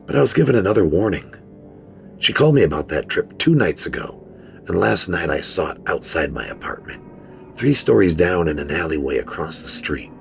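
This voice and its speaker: A very deep, gravelly middle-aged father acted by a man tells his horror story as a grave, measured confession. He sounds weary and afraid, with faint music behind him. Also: full character voice. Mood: uneasy, confessional. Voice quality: low, gravelly. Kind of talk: first-person horror story narration.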